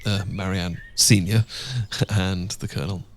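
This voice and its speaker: A British man playing a tender, devoted Regency gentleman husband in an intimate ASMR roleplay, speaking gently and a little hesitantly in formal period phrasing. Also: sits low, fairly even intonation, unhurried, slight background noise. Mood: gentle, chatty. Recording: outdoor birdsong ambience behind voice. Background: birdsong and outdoor ambience.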